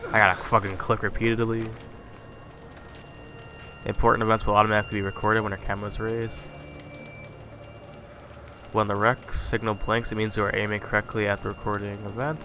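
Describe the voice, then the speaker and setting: Low, muttering gamer with a head cold. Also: male voice, flat delivery, fairly quick, pauses often, pushed and bright, a little hiss or hum. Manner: profane, jumpy, muttering asides. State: slightly congested from a cold. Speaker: gamer playing a horror game. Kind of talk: casual commentary.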